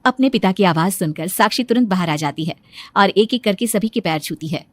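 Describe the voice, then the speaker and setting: A woman's voice: mid-range voice, expressive, fairly quick, dry recording. A woman narrator reading a scripted story in a storytelling style.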